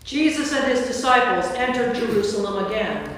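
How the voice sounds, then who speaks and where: A woman's voice: fairly high pitch, expressive, normal speed, a bit bright. Distant woman narrator in a cavernous echo. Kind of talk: scripted staged Bible reading. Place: large reverberant church. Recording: echoey church from far off, faint noise.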